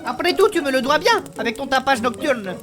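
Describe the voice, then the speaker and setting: A man's voice: extremely high pitch, animated, brisk. A performer voicing Marco, a whiny, complaining male adventurer with a headache, in an audio fiction.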